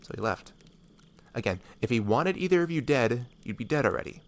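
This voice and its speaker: A man voicing a laid-back, blunt but kindly woodsman, casual and unhurried, in a fantasy audio roleplay. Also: medium pitch, expressive, close to an everyday voice. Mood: matter-of-fact. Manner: casual, unhurried, matter-of-fact.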